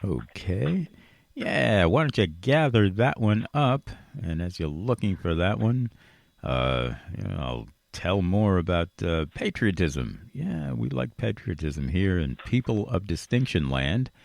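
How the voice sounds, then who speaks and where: A man's voice: deep voice, big pitch swings, a little slow, gravelly, no echo. A man hosting a radio interview show, speaking conversationally and earnestly, with emphatic repetition. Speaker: radio talk show host. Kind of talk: conversational interview, rambling positive monologue.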